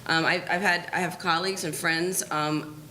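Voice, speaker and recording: A woman on a discussion panel lays out her point carefully, pausing to pick her words. Her voice sits on the low side, with faint noise beneath it. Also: steady tone, sharp tone.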